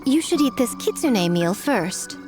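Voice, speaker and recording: Coy, high-voiced kitsune girl. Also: big pitch swings, a little slow, over music. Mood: friendly. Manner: coy, teasing, polite. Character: sly, playful young female kitsune, sweetly scheming. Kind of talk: animated video game voice acting.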